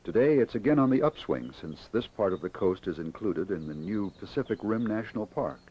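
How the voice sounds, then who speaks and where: Deep-voiced man narrating an old documentary. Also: animated, breathy edge. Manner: measured, informative. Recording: dull lo-fi 1960s film soundtrack with faint hiss.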